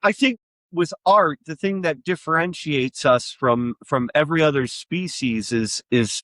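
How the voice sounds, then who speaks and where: A man's voice: a little high, unhurried, fairly bright tone, no echo. A man co-hosting a podcast, chatting and asking questions casually, with a rambling, tangential way of talking. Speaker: podcast co-host. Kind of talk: casual chat, interviewing.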